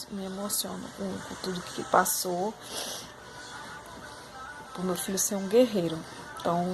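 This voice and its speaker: A young woman vlogging about her son's time in hospital, slightly hoarse, talking to her viewers in a heartfelt, chatty way. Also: fairly low pitch, background noise. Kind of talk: emotional personal vlog.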